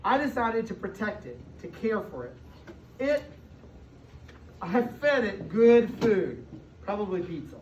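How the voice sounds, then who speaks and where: A woman's voice: medium pitch, big pitch swings, unhurried, pauses often, a little mellow. American woman reading to children, echoey and distant. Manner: explains and paraphrases as she reads, with questions and emphatic stretched words. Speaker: school superintendent reading aloud to children. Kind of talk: interactive picture-book read-aloud to young children. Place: indoor room with children present. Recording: distant, echoey, muffled room recording with noise.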